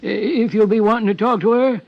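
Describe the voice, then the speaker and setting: A fussy small-town American lawman with a high-set voice for a male. He rambles in a folksy, hesitant way, like a 1950s radio character part, on a muffled old broadcast recording. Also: brisk, vocal fry, heavy background noise. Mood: folksy, musing.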